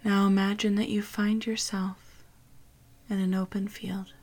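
A woman guiding a meditation in a soft, partly whispered voice. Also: medium pitch, little pitch movement, a little slow. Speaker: meditation guide. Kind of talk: guided meditation.

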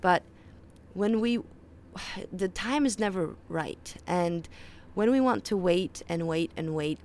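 A young woman with a Faroese accent talks to her listeners in an earnest, conversational way, often restarting her sentences. Her voice sits a little low for a woman. She records outdoors on a balcony, with birds and faint ships behind her. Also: slow pace, a little mellow, a little hiss or hum. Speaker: podcast host. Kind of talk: casual podcast monologue. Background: birds and distant ships.

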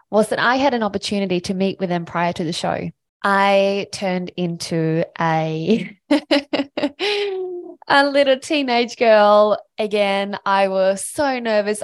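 A woman from Sweden hosting her own podcast, telling a personal story in an enthusiastic, motivational, chatty way. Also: lowish voice. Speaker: podcast host.

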